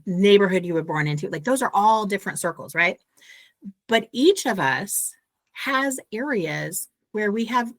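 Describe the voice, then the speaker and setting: A woman author being interviewed, explaining ideas earnestly with lively asides. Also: lowish voice, no echo. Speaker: author and guest. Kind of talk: conversational interview.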